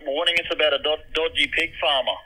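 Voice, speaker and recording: Sharp, fast Australian man on the phone. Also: a little high, steady tone. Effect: telephone line. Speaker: butcher shop worker. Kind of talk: phone conversation with a prank caller.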